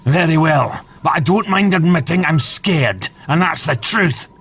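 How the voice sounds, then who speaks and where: A man's voice: a little high, expressive, a little slow, gravelly, a bit bright, breathy edge, voice pushed up, exaggerated voice. A man voicing a fearful, superstitious farmer with old-fashioned rustic talk in a comic dub. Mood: frightened.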